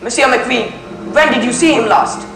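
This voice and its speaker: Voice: medium pitch, normal speed, a bit bright, natural voice. A teenage student acting a commanding, inquisitive male Belgian detective in a school stage play. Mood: probing. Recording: echoey stage recording with music behind.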